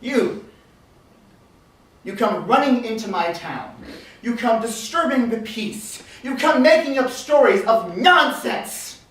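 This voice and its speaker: A woman's voice: a little high, animated, slow. Woman playing a stern town chief, very echoey. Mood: stern anger. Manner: emphatic, repetitive, threatening. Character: stern, towering town chief, angry and commanding. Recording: very echoey room, distant mic.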